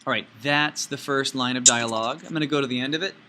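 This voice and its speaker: A man giving informal step-by-step software instruction as a teacher, with folksy, playful phrasing. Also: medium pitch, quick pace, a little hiss or hum. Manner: folksy, playful asides and sound words.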